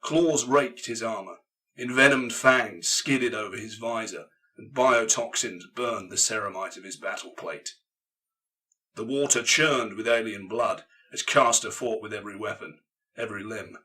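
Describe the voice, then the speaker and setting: A man's voice: lowish voice, sharp tone, distant mic, rough recording. A man reading a grim science fiction audiobook as narrator, in an intense, theatrical delivery. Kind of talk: dramatic scripted narration of grimdark science fiction.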